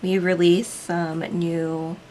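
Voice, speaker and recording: A woman from the Philippines casually narrating as she decorates a planner, chatty and relaxed, with frequent ums. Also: fairly low pitch, slow, slight background noise. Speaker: planner vlogger and shop owner.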